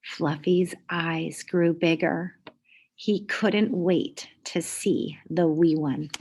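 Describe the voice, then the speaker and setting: A woman reading aloud to children in an expressive storytelling style, with deliberate pauses and emphasis. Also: fairly low pitch, breathy edge.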